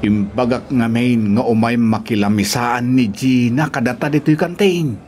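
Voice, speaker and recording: A man's voice: medium pitch, steady tone, normal speed, darkish tone, lightly acted. An actor with an Ilocano accent playing a teasing, mischievous, cocky male workmate in a radio-style drama.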